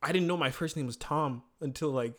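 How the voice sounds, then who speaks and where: A man's voice: fairly high pitch, a little fast. A man in his twenties, co-hosting a podcast, telling family stories in casual chat and laughing often. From born in Zambia, family from Congo. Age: 20s. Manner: laughs often, animated storytelling. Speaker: podcast co-host.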